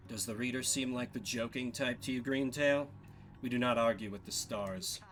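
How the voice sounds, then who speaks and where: A man's voice: mid-range voice, steady tone, normal speed, a bit bright, natural voice. A man voicing a stern, solemn character from a video game, reading out the dialogue.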